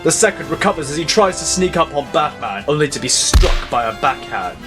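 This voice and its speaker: A man narrates action in a dramatic scripted style. His voice sits a bit high and moves animatedly, with music underneath. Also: lively intonation, brisk.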